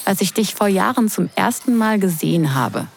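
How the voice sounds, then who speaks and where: A woman's voice: mid-range voice, lively intonation, normal speed, vocal fry, a little hiss or hum. A woman acting a sarcastic, flustered young sister of the groom in an intimate, confiding audio drama. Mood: flustered, reflective. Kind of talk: intimate first-person audio drama narration and dialogue.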